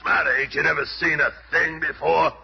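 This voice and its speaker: A man playing a gruff, cranky, wisecracking rock-bodied hero in a 1970s radio drama, brash and gravelly, on a noisy, muffled old recording. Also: fairly high pitch, fairly even intonation, moderate pace. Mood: grumbling. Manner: brash, streetwise, grumbling.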